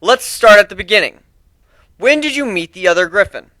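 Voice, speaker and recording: A stern griffin prosecutor, played by a man in a fantasy trial story. The delivery is formal, commanding and pointed, with lively swings in pitch and a bright, forceful edge. It is recorded dry and close. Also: a little fast, dry recording. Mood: pressing. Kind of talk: dramatic fan fiction reading.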